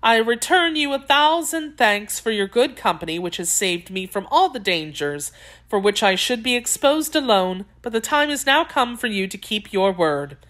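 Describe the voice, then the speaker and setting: A woman's voice: on the high side, very animated, normal speed, fairly bright tone, voice pushed up. A woman voicing a secretive, imperious young Frenchwoman in an audiobook, speaking in a formal, emphatic and guarded way.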